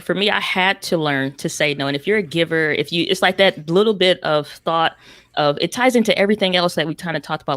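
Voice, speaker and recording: A woman's voice: deep voice, a bit bright, no echo. Woman on a panel, punchy, says it's like a lot, dry close sound. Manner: emphatic, conversational, many "it's like" asides. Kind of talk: panel discussion.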